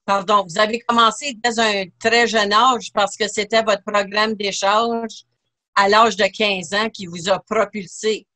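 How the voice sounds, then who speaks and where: A woman's voice: mid-range voice, fairly even intonation, a little slow, pushed and bright. A woman hosting a church television interview, asking questions hesitantly with frequent fillers. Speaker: TV host.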